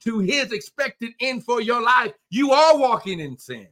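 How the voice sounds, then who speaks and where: A man's voice: very high voice, sing-song, unhurried, fairly bright tone. A man in a faith talk conversation, speaking in a preacherly way, quoting scripture and building to emphatic peaks.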